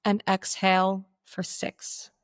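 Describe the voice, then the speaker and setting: A woman leading a guided meditation as its guide, speaking in slow, deliberate instructional phrases with pauses. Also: mid-range voice, monotone, clean tone, dry recording.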